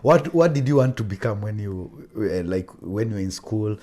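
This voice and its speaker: Low, mellow man hosting a casual interview. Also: lively intonation, moderate pace. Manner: interjects often, repeats answers back. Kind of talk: casual friendly chat interview.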